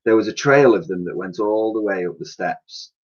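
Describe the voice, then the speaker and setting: A man reading a children's storybook aloud in an expressive, dramatic way, with the odd stumble. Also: on the low side, moderate pace, breathy edge. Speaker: primary school teacher reading aloud. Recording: dull lo-fi home recording.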